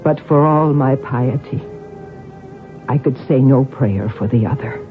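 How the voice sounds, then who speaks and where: Actor as slow, pious aged Irish matron. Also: medium pitch, close to an everyday voice. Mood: solemn, sorrowful. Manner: slow, reflective, solemn. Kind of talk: 1970s radio drama acting. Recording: phone-like old radio, music behind.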